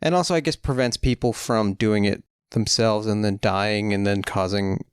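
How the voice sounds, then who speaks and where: A man's voice: lowish voice, fairly even intonation, normal speed, clear, resonant tone, no room sound, clean studio sound. A man co-hosting a podcast in casual chat, joking and drifting into rambling tangents. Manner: rambling, jokey, riffs on tangents. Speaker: podcast co-host.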